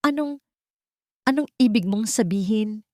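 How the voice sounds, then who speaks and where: A woman's voice: mid-range voice, lively intonation, a little slow, a little mellow, dry recording, clean studio sound, pitched above the performer's own voice, close to an everyday voice. A woman voicing a tense, wary wife in an audio drama, asking blunt questions. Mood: puzzled, tense. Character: tense, wary betrayed wife demanding answers.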